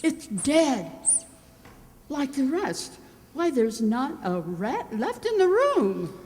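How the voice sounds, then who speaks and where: A woman's voice: high-pitched, big pitch swings, slow pace, darkish tone, heavily acted. A woman playing an eager, astonished royal lady in a children's radio play, dramatic and exclamatory. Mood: insistent. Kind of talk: old-time children's radio play acting. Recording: noisy reverberant hall.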